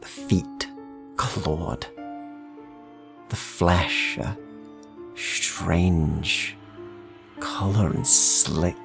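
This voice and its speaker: A man narrating dark fantasy as a game master, deliberate and ominous, pausing between short phrases. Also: low voice, gravelly, soft background music. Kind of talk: slow dramatic second-person dark fantasy storytelling.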